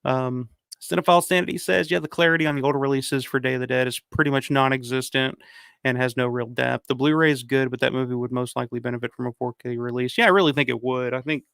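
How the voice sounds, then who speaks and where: A man hosting a casual livestream, hoarse from a cold, rambling and swearing as he reads viewer comments. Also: medium pitch, steady tone, quick pace, a bit bright, no room sound, clean studio sound. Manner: rambling, profane, frequent restarts. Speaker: livestream host.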